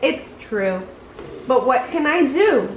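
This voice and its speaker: An eager, childlike storybook tiger full of bounce, acted out by a woman reading aloud. The voice is high and speaks in simple exclamations, in an echoey room with noise behind it. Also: very animated, slow, lo-fi sound, full character voice. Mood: excited.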